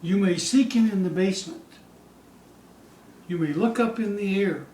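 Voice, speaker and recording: A man giving a theatrical poetry recital, dramatic and suspenseful, with drawn-out phrases moving between hushed dips and loud peaks. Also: high voice. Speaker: poetry reader. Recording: distant, echoey room with faint hiss.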